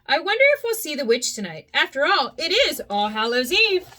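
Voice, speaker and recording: A woman's voice: a little fast, exaggerated voice. Woman doing a jumpy wooden puppet boy. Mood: wondering. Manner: high, excited and frightened.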